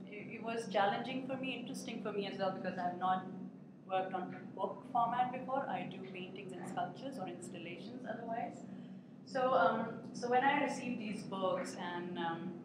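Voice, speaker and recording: A woman whose first language is Urdu talks thoughtfully about her art, pausing often with filler sounds. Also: medium pitch. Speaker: visual artist. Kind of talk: artist talk about her work. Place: art gallery. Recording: very echoey room with steady background noise.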